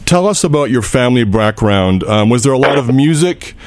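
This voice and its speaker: A man interviewing a guest on the radio, asking polite, quick questions. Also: mid-range voice, expressive, dry recording.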